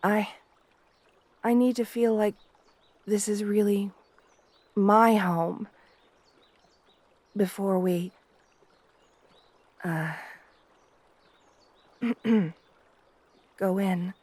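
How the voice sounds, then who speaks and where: A woman playing a shy, gentle, devoted young princess bride in an ASMR roleplay, speaking softly and hesitantly, with deference. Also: medium pitch, steady tone, extremely slow, pauses often, dry recording.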